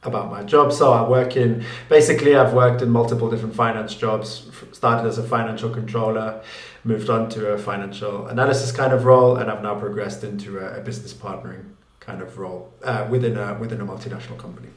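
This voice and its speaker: A man's voice: on the low side, steady tone, quick pace. A man, a guest answering interview questions with plenty of fillers and restarts. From Newcastle, England. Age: late 20s. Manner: thoughtful, many fillers and restarts, laughs easily. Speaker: guest, finance YouTuber. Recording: roomy echo.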